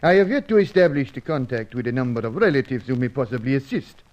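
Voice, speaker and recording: An actor playing a loyal servant and informant in a radio adventure serial, formal and obsequious. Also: male voice, medium pitch, animated, fast talker, a little mellow, natural voice. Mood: apologetic, formal. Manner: formal, obsequious, stilted phrasing. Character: deferential, loyal male Latin servant and informant. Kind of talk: old-time radio adventure serial acting. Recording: dull, muffled old radio recording.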